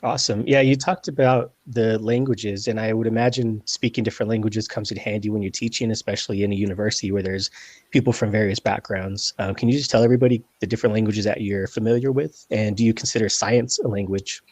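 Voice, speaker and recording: A man's voice: lowish voice, steady tone, fast, darkish tone, dry recording. A man hosting a podcast, asking long, rambling questions in a friendly, casual way. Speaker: podcast host. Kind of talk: casual interview.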